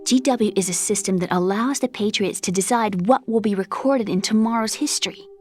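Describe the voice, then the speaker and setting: A woman's voice: medium pitch, fairly quick, lightly acted. Brainy young woman scientist lecturing over music, an actress's performance. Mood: insistent. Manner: lecturing, precise, challenging with pointed questions. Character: earnest, brainy young woman computer scientist, a little haughty. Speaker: video game character. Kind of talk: scripted game cutscene dialogue.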